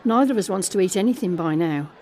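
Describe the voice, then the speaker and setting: A young woman with a soft, mellow voice and middling pitch played by an actress confides her story in an audio drama. She is guarded yet frank and tender, slipping conversationally into the exchanges she remembers. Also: animated, quick pace, a faint crowd, natural voice. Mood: hushed, soothing. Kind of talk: dramatic first-person monologue in an audio drama.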